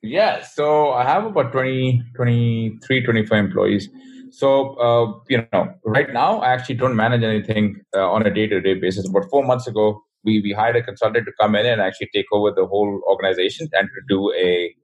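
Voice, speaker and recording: Fast-talking man with a husky voice. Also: on the low side, dull audio. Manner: fast, rambling, frequent restarts. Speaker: guest, ecommerce founder. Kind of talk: casual interview talk.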